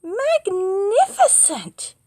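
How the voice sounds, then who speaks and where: A woman voicing a plucky, clever young princess in a children's storybook, with emphatic, drawn-out delivery. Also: very high-pitched, sing-song, warm tone, no echo, studio quality, pitched above the performer's own voice, full character voice. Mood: flattering, excited.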